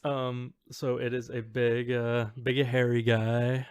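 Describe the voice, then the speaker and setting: A man co-hosting a podcast in casual chat, halting and tentative as he thinks aloud. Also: medium pitch, monotone, a little mellow, clear, resonant tone, no echo. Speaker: podcast co-host.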